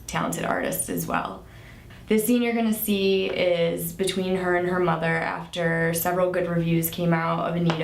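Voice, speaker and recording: A woman's voice: fairly low pitch, creaky. A woman screenwriter introducing a scene from a script to a theater audience. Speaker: screenwriter presenting their script. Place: theater stage. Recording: echoey room.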